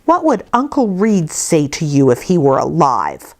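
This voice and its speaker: A woman's voice: sits low, big pitch swings, measured pace, full character voice. A woman voicing a passionate, indignant young orphan girl in a storybook, speaking with emphatic accusation. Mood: accusing. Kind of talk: storybook character dialogue.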